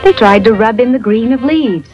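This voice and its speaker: A woman narrating a scripted children's fairy tale in an expressive storytelling manner. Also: a little high, moderate pace. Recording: muffled lo-fi audio, faint music behind.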